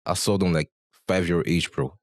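A young man with a deep voice chats as a guest on a talk show. His speech is loose and slangy, full of "bro" and "you know". The sound is close and dry, from a handheld mic. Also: fairly even intonation, a little fast, dry recording. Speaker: interview guest. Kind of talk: casual chat, storytelling. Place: small barbershop, indoors. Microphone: handheld microphone.

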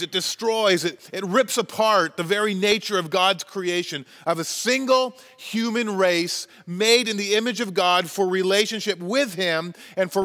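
A man's voice: high-pitched, pushed and bright, long reverb tail. A Canadian man in his forties, a pastor preaching a sermon from a church stage, earnest and emphatic. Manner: earnest, emphatic, gestures constantly while preaching. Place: church stage with wooden wall, large hall.

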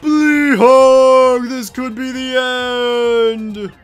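A man's voice: very high-pitched, level tone, drawn-out words, faint music behind, voice pushed up, full character voice. A man voicing a blustering villain boss from a video game, bellowing theatrically. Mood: defeated, furious. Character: blustering villain henchman boss piloting a robot.

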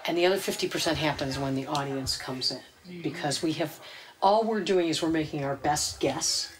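A woman's voice: sits low, normal speed, low-quality recording. A middle-aged woman speaking encouragingly as a theatre director to her actors in a small room. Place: small furnished green room.

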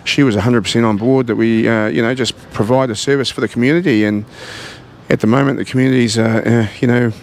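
Gravelly, earnest New Zealand man. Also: mid-range voice, fairly even intonation, a little mellow. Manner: earnest, halting, many fillers and restarts. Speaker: gym owner. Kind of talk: casual interview. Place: large indoor martial arts gym. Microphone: handheld mic.

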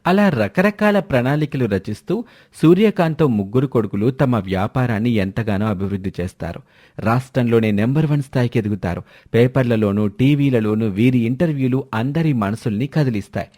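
A man's voice: mid-range voice, expressive, a little fast, mellow. A man narrating a scripted moral story.

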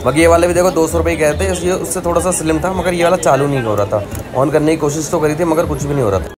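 A man's voice: a little high, very fast, over music. A young man vlogging as he walks through a street market, chatting as he points out goods and their prices. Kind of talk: walking market tour vlog. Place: busy outdoor street market. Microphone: handheld phone camera. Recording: busy crowd behind. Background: crowd chatter.

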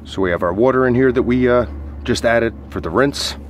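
A man's voice: mid-range voice, a little fast, slight background noise. A man reviewing gear in an informal, hesitant, step-by-step instructional way, as a casual demonstration. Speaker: product reviewer and outdoor coach.

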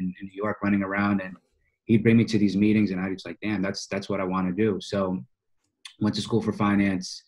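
A man's voice: low voice, a little mellow, rough recording. A man in his early thirties from Boston, telling his story in casual, slangy speech as a podcast guest. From United States, Boston. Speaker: podcast guest, financial coach. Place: small office, indoors, on a video call.

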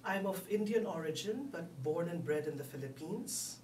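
A woman in her fifties answering in a measured, plain way. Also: on the low side, animated, heavy background noise. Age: 50s. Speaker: interviewee. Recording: echoey room, distant mic.

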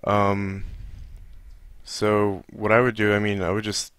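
A sleepy man giving a casual, improvised walkthrough, hesitant and low-key as he thinks aloud. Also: completely flat delivery, takes it slowly, fairly bright tone, clear, resonant tone, no echo. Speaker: audio editing tutorial presenter.

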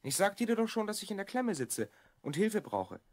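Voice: mid-range voice, very animated, normal speed, no room sound, studio quality. A performer playing a secretive boy of twelve from the future in an audio drama, explaining things confidingly. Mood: grateful, explaining. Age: child character, twelve. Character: Rhonn, secretive stranded boy time traveller from the future. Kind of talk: German audio drama acting.

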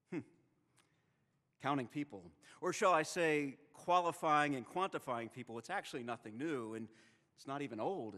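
A man in his thirties delivers a sermon into a lectern microphone. His preaching is measured and rhetorical, with dramatic pauses. The long reverb of a chapel hall trails behind his words. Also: mid-range voice, a bit bright. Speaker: seminary president preaching in chapel. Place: chapel with stone wall, at a wooden lectern.

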